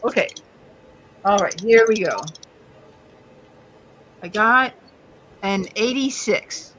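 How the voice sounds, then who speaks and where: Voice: mid-range voice, slow pace, a bit bright, low-quality recording. Someone playing a tabletop rpg, midrange voice, just chatting, slight noise in the back. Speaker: player in a tabletop roleplaying game. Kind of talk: casual chat.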